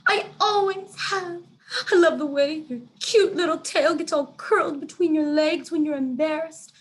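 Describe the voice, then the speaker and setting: Woman voicing a haughty, theatrical, high-pitched lady cat Snickers. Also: normal speed, natural voice. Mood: lovestruck, dramatic. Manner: grand, melodramatic delivery. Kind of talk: children's storybook character voice.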